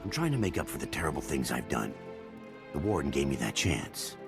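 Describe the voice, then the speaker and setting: Game role, reformed criminal: rock-bottom pitch, creaky, earnest, measured. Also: male voice, big pitch swings, music in the background, heavily acted. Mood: earnest, remorseful. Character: repentant middle-aged ex-criminal prisoner turned honorary guard. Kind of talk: video game dialogue.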